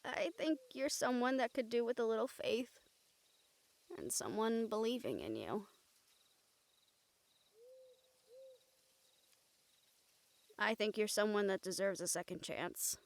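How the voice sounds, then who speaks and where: A woman playing a wry, gentle recruiter of supernatural outcasts in an intimate audio roleplay, teasing and earnest in manner. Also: mid-range voice, no room sound. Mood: earnest, reassuring.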